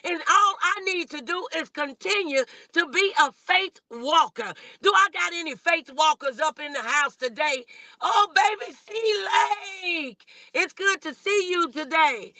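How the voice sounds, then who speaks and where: A woman's voice: high voice, pushed and bright, no room sound. An American woman preaching a motivational sermon as an online host, exhorting listeners and calling on them with questions.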